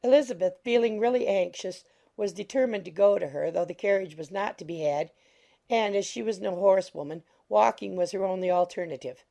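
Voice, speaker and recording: A woman reads scripted literary narration for an audiobook. Her voice is mellow and medium in pitch, with noticeable creak. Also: fairly quick.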